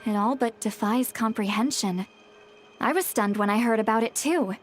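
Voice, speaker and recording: A woman playing a calm, thoughtful young woman who explains things in a measured way in a video game. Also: fairly high pitch, a little hiss or hum, close to an everyday voice. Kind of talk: English video game voice acting. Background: game music.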